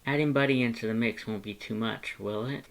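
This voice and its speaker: A man voicing a gentle, tentative animal shelter worker in a story, speaking softly and politely with some hesitation. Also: mid-range voice, brisk, gravelly, lightly acted. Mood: tentative, friendly. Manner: soft-spoken, hesitant, polite.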